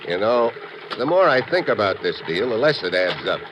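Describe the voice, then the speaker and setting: An American actor playing a hard-boiled, suspicious special agent, terse and wry, in a 1950s radio drama. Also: male voice, fairly low pitch, sing-song, moderate pace. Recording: muffled, noisy old radio recording.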